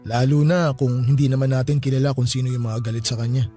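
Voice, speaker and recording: A man's voice: medium pitch, fairly even intonation, fast, warm tone, faint music behind, close to an everyday voice. Man playing a grieving hot-tempered young friend. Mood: somber.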